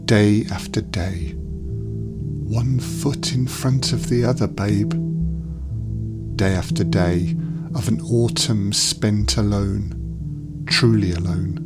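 Slow, low-voiced man reading poetry over guitar. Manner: deliberate, with pauses between short phrases. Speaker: poetry reader. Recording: voice over loud guitar music. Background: plucked guitar music.

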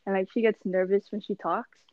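A woman's voice: medium pitch, fairly even intonation, a little fast, dry recording, dull audio. A young woman co-hosting a casual podcast, rambling through a story with lots of "like" and acting out other people's lines. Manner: rambling, full of "like", acts out voices in anecdotes. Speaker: podcast co-host.

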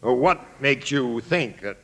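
An actor playing a curt, bossy homicide captain in an old radio drama. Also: male voice, medium pitch, expressive, measured pace. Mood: businesslike. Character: brusque, big homicide police captain. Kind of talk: 1940s radio drama acting. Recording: old-time radio recording.

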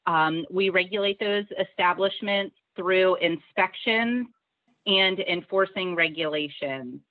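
A woman guest on an interview podcast, explaining things in a measured, formal way. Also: on the low side. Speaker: government official, podcast guest. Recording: thin phone-like narrow-band audio.

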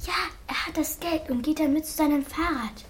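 A female amateur plays an eager girl detective in a radio play. Her voice is very high and plainly practical, over slight recording noise. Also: fairly quick, natural voice. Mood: alert. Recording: noisy amateur recording with clicks.

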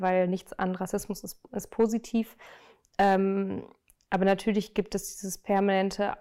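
Young woman, from Germany, interview guest, earnest, measured, pauses with fillers. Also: medium pitch, darkish tone. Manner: thoughtful, many fillers, earnest. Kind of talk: reflective conversational interview.

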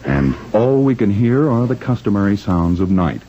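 A man's voice: fairly low pitch, big pitch swings, normal speed, dark tone. A man playing a genial, wry 1940s radio announcer and narrator with a theatrical manner. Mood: hushed, wry. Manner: theatrical, tongue-in-cheek. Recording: muffled, faintly noisy old radio recording.

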